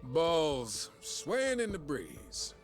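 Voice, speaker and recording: A worn-out, sarcastic official in a video game. His fairly high voice is slow and blunt yet lively in pitch, over faint music. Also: exaggerated voice. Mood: dry skepticism. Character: jaded, sleep-deprived, sarcastic official man in a video game. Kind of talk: cinematic video game acting.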